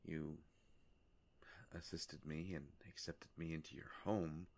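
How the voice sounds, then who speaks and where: Man voicing a deep, slow, gravelly ancient vampire. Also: full character voice. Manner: formal, halting, hushed, measured phrasing. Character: courtly, melancholy ancient male vampire, grateful and formal. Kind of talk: slow intimate roleplay audio monologue.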